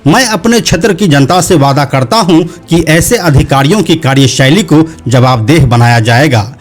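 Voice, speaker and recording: A man's voice: a little high, lively intonation, moderate pace. A man voicing an arrogant local politician in a narrated explainer, haughty and browbeating. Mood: defensive, formal. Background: background music.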